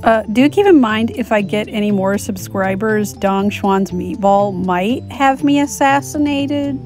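A woman's voice: mid-range voice, measured pace, a little mellow, soft background music. A woman hosting a channel, giving a casual, dry and informal voice-over introduction. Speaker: channel host and voice actor.

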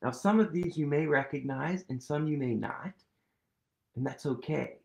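A man's voice: fairly high pitch, normal speed, gravelly. A man in his thirties reading a picture book aloud to young children, playful and sing-song, dropping into whispers, at home on a sofa in front of a webcam. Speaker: children's storytime reader. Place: living room at home, seated on a sofa.

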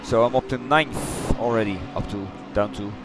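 A middle-aged man with a Dutch accent, chatting loosely through a replay of his racing game run, halting and correcting himself as he goes. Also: on the low side, noisy background. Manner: halting, rambling, self-correcting. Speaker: sim racing streamer. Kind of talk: casual replay commentary. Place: home gaming room.

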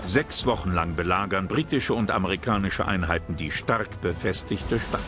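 A man narrating a historical documentary from a script in a measured, serious manner. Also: low-pitched. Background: background music.

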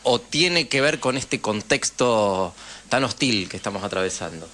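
A man with a Rioplatense Argentine accent hosting a radio interview, forming his questions haltingly and repeating words as he goes. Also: on the low side, animated, sharp tone. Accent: Rioplatense Argentine Spanish.

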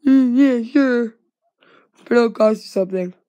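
A performer voicing a loud, bossy one-eyed green monster who offers help in a homemade puppet skit. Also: female voice, fairly high pitch, unhurried, close to an everyday voice.

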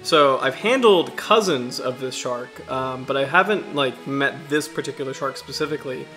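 A man in his thirties from the US Chesapeake Bay region, hosting a casual livestream in a halting, self-deprecating way, his throat slightly raspy from allergies. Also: mid-range voice, bright, forceful tone, soft background music. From United States, Chesapeake Bay region. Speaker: livestream host.

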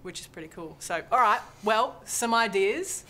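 A woman's voice: medium pitch, animated, normal speed, bright, forceful tone. A young woman hosting a casual group chat in a joking, informal manner. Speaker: host. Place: indoor lounge, seated around a table. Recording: faint background room noise.

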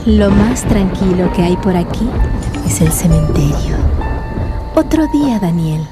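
A woman's voice: fairly low pitch, expressive, unhurried. Woman acting a wistful, mysterious young woman. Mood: eerie calm. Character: pale, mysterious, melancholy young woman, gently evasive. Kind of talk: mystery radio play acting. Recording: music bed behind the voice.

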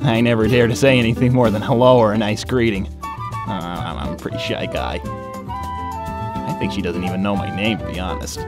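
A man's voice: fairly low pitch, wildly swooping pitch, moderate pace. Man acting a nervous, lovestruck young hedgehog guy. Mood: hopeful, shy. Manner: nervous, stammering, self-scolding. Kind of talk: animated comic dub acting. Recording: music bed behind voice.

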